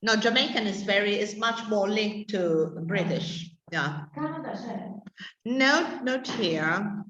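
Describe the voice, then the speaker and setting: A woman's voice: medium pitch, slow, fairly bright tone, reverberant, muffled recording. A woman teaching English to adult learners, stopping often to search for words mid-sentence. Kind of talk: classroom explanation to adult learners.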